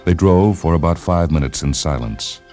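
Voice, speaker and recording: A man with an extremely deep voice narrates fiction for a radio program. His delivery is measured and deliberate, with faint music playing underneath. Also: expressive, darkish tone. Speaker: narrator, story reader. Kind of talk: scripted dramatic narration of fiction.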